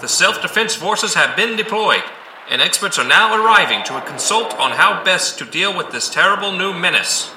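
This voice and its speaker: A man playing an urgent emergency news broadcaster in an audio drama, announcing formally and gravely. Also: sits high, animated, moderate pace, reverberant, noisy background.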